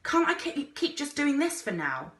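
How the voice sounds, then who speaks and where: A woman's voice: sits high, very animated, normal speed, a bit bright, pitched above the performer's own voice. A woman voicing a frightened, hesitant boy in a storybook, with a panicky way of speaking. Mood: reluctant, anxious. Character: frightened, hesitant boy on his first flight. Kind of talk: storybook character voice.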